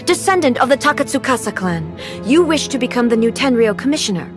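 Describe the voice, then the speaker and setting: A woman voicing a cold, imperious almighty ruler in a video game, speaking in formal, measured phrases. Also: a little high, sing-song, over music. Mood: cold, commanding. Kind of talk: dramatic video game voice acting.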